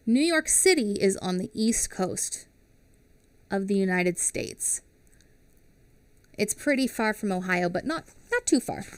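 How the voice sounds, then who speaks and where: Woman in her thirties, teacher reading aloud for kids, lively rising and falling voice, dry close headset sound. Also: medium pitch, dry recording. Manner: expressive, asks children questions. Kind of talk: children's picture book read-aloud. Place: small home studio with classroom backdrop. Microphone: headset mic.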